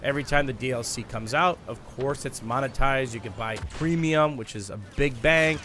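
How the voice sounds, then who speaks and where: A man's voice: medium pitch, moderate pace, sharp tone. A man presenting gameplay commentary to viewers like a gaming YouTuber, emphatic and stretching the words he stresses. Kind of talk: scripted-sounding gameplay commentary, addressing viewers. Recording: clear background noise.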